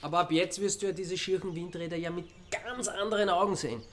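Man playing a wry, higher-voiced Austrian amateur sleuth. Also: steady tone, fast, a little hiss or hum, lightly acted. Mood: accusing. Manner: sardonic, reasoning aloud. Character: wry amateur sleuth confronting a killer, needling, unflappable. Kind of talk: radio crime drama acting.

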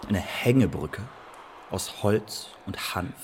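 An actor playing a defiant, witty playwright prisoner in a German radio drama, mocking, indignant and wry. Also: male voice, low-pitched, expressive, slow, slight background noise. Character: defiant, witty male playwright held prisoner under interrogation.

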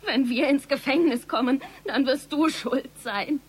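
An actress playing an insistent, grief-driven daughter in a radio crime drama, pressing and reproachful. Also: female voice, high voice, a little slow. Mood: reproachful, pleading. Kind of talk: German radio play acting.

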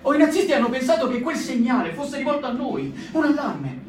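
Man, mid-pitched, solemn first-person narration. Distant mic, roomy echo, loud guitar behind. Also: sing-song, brisk, a little hiss or hum. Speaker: reader in a performed reading. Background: loud guitar music.

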